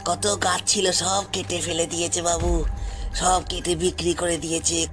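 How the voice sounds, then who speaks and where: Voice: mid-range voice, flat delivery, measured pace, music in the background, lightly acted. A performer voicing a poor, wronged forest tribesman in an audio story, pleading anxiously and repeating phrases insistently, with deference. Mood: pleading, bitter. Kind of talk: dramatised audio story acting.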